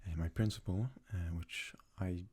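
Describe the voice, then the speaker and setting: A young man from Sweden, a native Swedish speaker, talks casually in a soft-spoken, hesitant ASMR style as he answers questions. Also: low-pitched, steady tone, moderate pace, breathy edge. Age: young adult. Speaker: ASMR creator.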